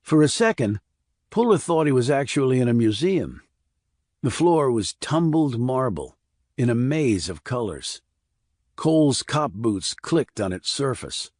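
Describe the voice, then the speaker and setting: A man reading a scripted thriller audiobook in a measured, descriptive storytelling manner. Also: medium pitch, darkish tone, no echo, clean studio sound. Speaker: audiobook narrator.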